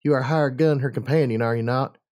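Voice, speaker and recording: A man's voice: medium pitch, fairly even intonation, a little fast, a little mellow, muffled recording, pitched above the performer's own voice, close to an everyday voice. A man voicing a bored adult mare messenger who speaks stiffly and formally with no effort. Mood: listless. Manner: stiff, listless, formal diction.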